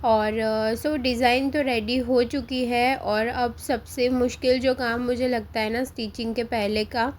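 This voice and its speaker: Hoarse Indian woman, steady low-key voice-over. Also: slight background noise. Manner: soft, unhurried, pauses often with "uh", mixes English into Hindi. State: sore throat, hoarse. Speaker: vlogger. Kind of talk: calm voice-over narration. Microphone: close voice-over mic.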